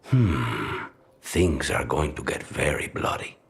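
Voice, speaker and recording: Casting: a grim, dryly witty game assassin, extremely low, very breathy and gravelly, deliberate. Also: male voice, very animated, full character voice. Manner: measured, deliberate. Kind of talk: video game cutscene acting.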